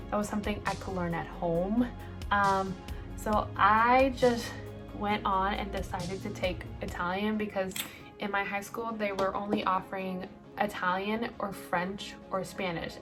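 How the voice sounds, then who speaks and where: A woman in her late twenties, from El Salvador, chatting casually through a get-ready-with-me Q&A, rambling with lots of ums and likes. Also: medium pitch. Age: late 20s. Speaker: beauty vlogger.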